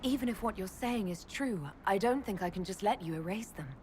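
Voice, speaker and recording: A woman's voice: mid-range voice, lively intonation, fairly quick, a little mellow, slight background noise, close to an everyday voice. An actress playing a proud, steadfast elven woman leader who speaks earnestly and directly, in game cutscene dialogue. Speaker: video game character.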